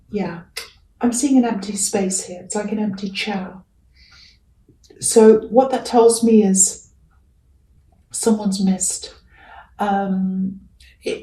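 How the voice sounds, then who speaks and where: A woman's voice: mid-range voice, warm tone. Casting: female tarot reader, casual and hesitant, reassuring, slightly crackly voice; roomy sound, set back from the microphone. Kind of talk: casual, improvised tarot reading. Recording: roomy echo, distant from mic.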